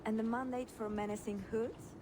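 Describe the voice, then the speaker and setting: A woman playing a cultured, curious and gentle Italian traveling companion in a video game, with an Italian accent and a gently wry manner. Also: fairly high pitch, fairly even intonation, normal speed, noisy background, lightly acted. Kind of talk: dramatic video game acting.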